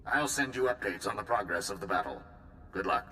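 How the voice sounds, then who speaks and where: A supreme commander, authoritative, brisk, bright, faint rumble. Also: male voice, animated. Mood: commanding. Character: male military supreme commander, decisive and blunt. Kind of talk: game voice acting.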